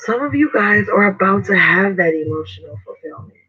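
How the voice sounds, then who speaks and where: A man with a hoarse, raspy voice giving a casual, slangy tarot reading as host. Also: high-pitched, lively intonation, brisk, sharp tone, soft background music. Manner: slangy, chatty, swears casually. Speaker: tarot reader. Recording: dull lo-fi recording.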